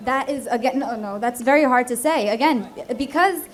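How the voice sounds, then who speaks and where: A young woman, a college student, arguing defensively in a campus debate and tripping over her words. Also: high-pitched. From United States. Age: young adult. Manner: defensive, hurried, stumbling.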